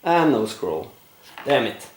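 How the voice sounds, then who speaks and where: A man narrating a board game play-through, explaining rules and thinking aloud with frequent fillers, his voice going slightly hoarse. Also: mid-range voice, very animated, slow, reverberant, background noise. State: losing his voice, clearing throat. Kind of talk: casual gameplay commentary.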